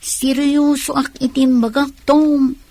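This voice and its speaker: A woman's voice: high voice, flat delivery, slow pace, darkish tone. A woman playing a sweet, earnest young woman in an Ilocano radio comedy drama.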